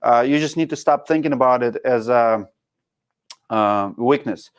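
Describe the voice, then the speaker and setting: A man's voice: medium pitch, unhurried. A man in his thirties with a Russian accent, hosting a casual livestream and coaching viewers in a frank, blunt way. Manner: frank, coaching, drops articles. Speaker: show host reading live chat.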